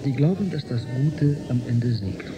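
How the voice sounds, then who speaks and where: A man's voice: mid-range voice, lively intonation, moderate pace, highs cut off, music in the background, close to an everyday voice. A man playing a courteous, idealistic historian with a flirtatious streak in a German radio drama, gallant and slightly pedantic. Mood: earnest, indulgent. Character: courteous, flirtatious, idealistic male historian aboard a space station.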